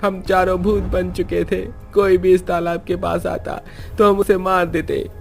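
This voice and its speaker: आदमी की भर्राई, ऊँची भूतिया लड़के की आवाज़. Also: male voice, high-pitched, flat delivery, a little slow, vocal fry, darkish tone, soft background music. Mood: brooding. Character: vengeful ghost boy murdered by thieves, brooding.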